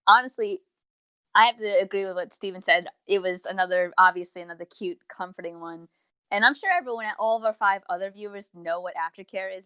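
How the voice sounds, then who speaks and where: Female podcast co-host, lowish register, chatty and rambling, dry and slightly dull recording. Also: fairly bright tone, clear, resonant tone, no room sound. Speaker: podcast co-host reviewing audios. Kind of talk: casual review chat.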